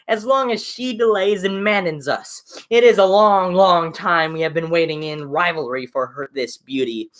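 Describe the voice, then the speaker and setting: A woman's voice: medium pitch, fairly bright tone, pitched above the performer's own voice. A woman voicing an arrogant young male suitor who talks down with scorn.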